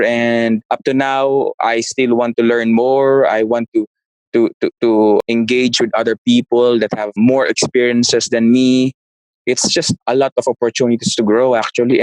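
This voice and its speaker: A man's voice: mid-range voice, no echo, low-quality recording. A man, answering interview questions in a casual way and often searching for words. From Philippines. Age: 30s. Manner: searches for words, frequent restarts. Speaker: guest, property investor.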